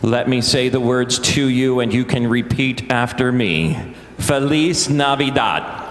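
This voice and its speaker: A man leading a live church concert, talking to the audience in a joking, self-deprecating way, halting with many fillers. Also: medium pitch, slight background noise. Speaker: choir director leading a singalong. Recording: roomy live recording with long church reverb.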